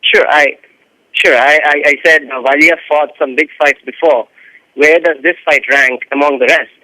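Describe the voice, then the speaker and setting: Bright-voiced Indian male reporter. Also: fairly high pitch, fairly even intonation, normal speed, dry recording, rough recording. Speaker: sports reporter. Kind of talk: press call questioning.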